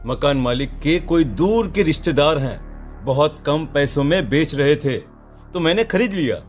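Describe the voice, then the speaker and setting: A man's voice: on the high side, lively intonation, a little slow, soft background music. A man voicing a reassuring, dismissive young newlywed husband in a horror cartoon. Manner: reassuring, brushing worries aside. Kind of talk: Hindi horror cartoon dialogue.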